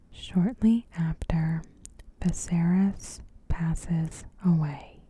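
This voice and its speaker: A woman whispers ASMR commentary in a soft, breathy voice on the low side. She reads gently and deliberately at a slow pace, with a very dark, velvety tone. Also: slight background noise. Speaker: ASMR host. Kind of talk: ASMR, scripted episode commentary.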